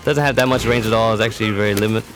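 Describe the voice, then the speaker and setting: A man's voice: lowish voice, steady tone, racing, a bit bright. A man giving matter-of-fact, instructional commentary for a fighting game tutorial. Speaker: competitive gamer presenting a character demo. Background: music.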